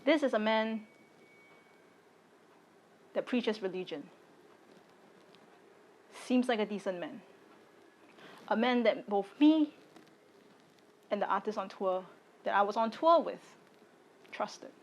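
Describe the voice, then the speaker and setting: Firm, measured young woman. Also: medium pitch, lively intonation, poor audio. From Singapore. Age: late 20s. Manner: deliberate and firm, speaking in short measured phrases. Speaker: content creator. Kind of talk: personal video statement.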